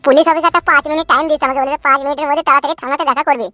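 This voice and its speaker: A performer voicing a cowardly, henpecked village husband in a cartoon who whines and stammers. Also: male voice, very high voice, steady tone, racing, bright, forceful tone, no echo, soft background music. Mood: complaining. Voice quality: whiny, stammering.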